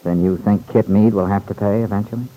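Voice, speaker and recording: An actor playing a protective, steady young grown son in an old radio serial. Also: male voice, lowish voice, fast, natural voice, rough recording. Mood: questioning.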